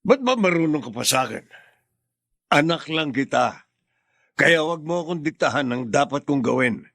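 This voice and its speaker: Cast a gruff patriarch, gravelly and dry, commanding. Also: male voice, a little high, expressive, moderate pace, a bit bright, no room sound. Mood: stern. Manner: authoritative, curt. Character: stern gruff father, family head. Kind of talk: radio drama acting.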